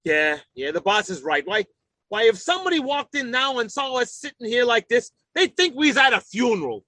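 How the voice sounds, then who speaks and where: A high-pitched, forceful working stiff from old-time radio comedy. He is a bumbling, easily flustered husband who stammers through folksy, ungrammatical talk with big swings of pitch. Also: very animated, moderate pace, no echo, heavily acted. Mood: sheepish.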